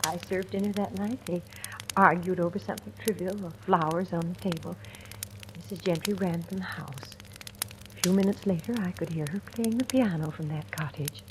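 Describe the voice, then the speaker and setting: A woman acts a kindly older cook in an old radio mystery. Her lowish voice is measured, gentle and grave, as if she knows the house well. The vintage recording is noisy, with clicks. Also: steady tone, lightly acted. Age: middle-aged or older. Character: kindly older woman cook, former schoolteacher, quietly knowing. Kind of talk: 1940s radio drama acting.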